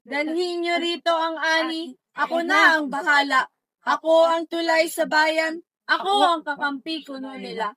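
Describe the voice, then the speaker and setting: A woman's voice: very high-pitched, steady tone, a little slow, sharp tone, no echo, exaggerated voice. A woman playing a greedy, cheating pig trader, boastful and declamatory in reader's theatre. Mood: sly. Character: sly cheating pig middleman, smooth-talking and greedy. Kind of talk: reader's theatre verse recital.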